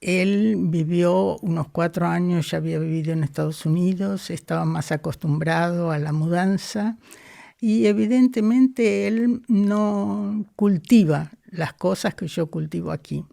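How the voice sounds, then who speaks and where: A woman, talking slowly and thoughtfully as a guest in an interview. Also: fairly low pitch, steady tone, vocal fry, warm tone, no room sound, studio quality. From Argentina. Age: 80s. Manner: unhurried, thoughtful, frequent hesitations. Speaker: guest, psychoanalyst. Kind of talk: reflective interview conversation.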